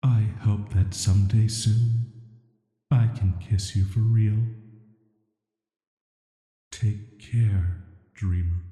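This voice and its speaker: A man plays a banished villain who visits dreams, very dark and velvety in tone and very low. He speaks softly and slowly, with a flat, measured hesitancy that is flirty yet dangerous. Long reverb trails every word in this roleplay audio drama. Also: faint music behind. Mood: tender, pleading. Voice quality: soft, hushed, atmospheric. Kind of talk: scripted roleplay sleep-aid audio drama.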